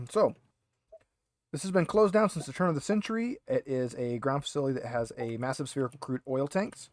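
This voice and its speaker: A man in the game master's seat, speaking at a middle pitch in a dry, close space. He describes scenes casually and slips in offhand remarks as he goes. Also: no echo. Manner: conversational asides amid scene descriptions. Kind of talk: casual narration of a tabletop roleplaying game.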